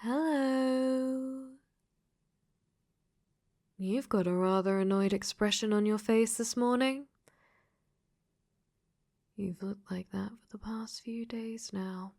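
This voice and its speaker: A woman voicing a clingy, possessive AI dating-sim girl in a soft, coaxing, teasing ASMR roleplay that drops into whispers. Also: a little high, slow pace, pauses often. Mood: soft, sweet.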